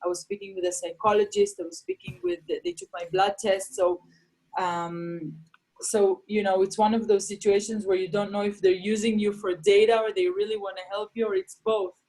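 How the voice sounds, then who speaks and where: A woman's voice: medium pitch, moderate pace, rough recording. A young woman, a comedian whose first language is Farsi, talking casually in an interview with many ums and restarts. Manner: animated, many fillers and restarts. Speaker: comedian guest.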